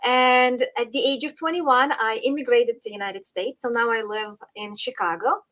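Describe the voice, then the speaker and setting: Woman, slightly high voice. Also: moderate pace, fairly bright tone. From Western Ukraine. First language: Ukrainian. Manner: earnest, with frequent ums and small laughs. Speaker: podcast guest. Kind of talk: casual interview talk.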